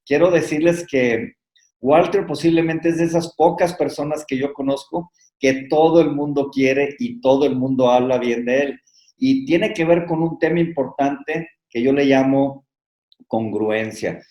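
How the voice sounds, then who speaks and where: Host in an echoey room. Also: male voice, medium pitch, dark tone. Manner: measured, listing points with pauses. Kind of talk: interview introduction.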